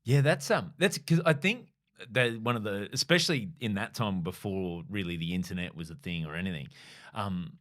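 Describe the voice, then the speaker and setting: A man's voice: fairly low pitch, moderate pace, fairly bright tone. A man in his thirties hosting a comedy podcast, asking curious, chatty questions. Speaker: podcast host. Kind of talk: casual interview chat.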